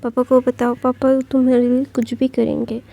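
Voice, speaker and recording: A woman voicing a gentle father comforting his son in a soothing way. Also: high-pitched, level tone, normal speed, creaky. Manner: soothing, reassuring.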